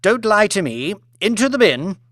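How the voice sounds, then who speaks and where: A man's voice: high-pitched, sing-song, normal speed, fairly bright tone, no echo, studio quality, pitched above the performer's own voice. A man voicing an imperious, icy aristocratic lady in an audiobook, with haughty, clipped commands. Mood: menacing. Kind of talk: audiobook character acting.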